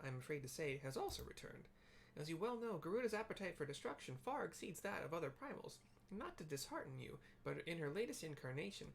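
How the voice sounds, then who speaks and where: A person reading game dialogue aloud as a formal, earnest fantasy character, with theatrical, archaic, deliberate delivery. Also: mid-range voice, creaky, slight background noise. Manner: theatrical, stately, deliberate wording.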